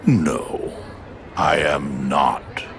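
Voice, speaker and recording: An actor playing a smug, calculating older crime boss in a video game, measured, formal and condescending. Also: male voice, a bass voice, steady tone, gravelly, a bit bright, some chatter behind, a little hiss or hum, heavily acted. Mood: coldly amused. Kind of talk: dramatic video game acting.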